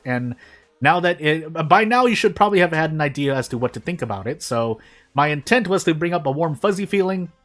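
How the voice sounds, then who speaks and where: A man's voice: on the high side, a bit bright. A man hosting a gaming channel, giving casual, rambling gameplay commentary with self-deprecating asides.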